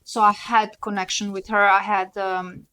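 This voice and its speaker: A woman's voice: medium pitch, flat delivery, normal speed, pushed and bright, smooth. A woman from Serbia, a podcast co-host and former model, telling candid stories in a chatty way with many fillers and restarts. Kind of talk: casual chat.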